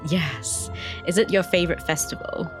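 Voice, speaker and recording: A woman's voice: fairly low pitch, normal speed, faint music behind. A young woman hosting a podcast, interviewing her guest in a casual, conversational style. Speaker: podcast host.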